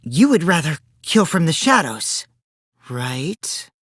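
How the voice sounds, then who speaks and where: A childish teenage schemer from a video game, a self-styled supreme leader of evil. The voice swoops dramatically between bratty teasing and sinister taunts, unhurried and recorded close and dry. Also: male voice, on the high side, sing-song, fairly bright tone, slightly rough, no echo, full character voice. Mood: sly, taunting. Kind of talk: English video game voice acting.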